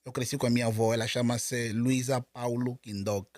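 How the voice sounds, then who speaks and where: A man's voice: medium pitch, level tone, a little fast, a little mellow, no room sound, studio quality. A man with an Angolan Portuguese accent, talking as an interview guest and often stumbling and repeating words. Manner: hesitant, with many repeated words and restarts. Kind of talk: casual interview conversation.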